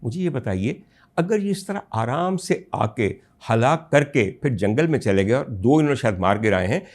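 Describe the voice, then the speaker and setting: Man, Pakistani Urdu accent, commentary show host, mid-range voice, rhetorical, swings from calm to loud indignation. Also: medium pitch, moderate pace. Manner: addresses listeners as ladies and gentlemen. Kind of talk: political monologue to camera.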